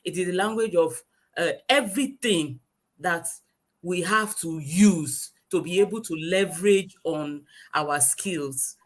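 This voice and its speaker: A woman's voice: fairly low pitch, a little slow. A woman, a guest English teacher lecturing earnestly in a webinar over a video call, repeating her points for emphasis.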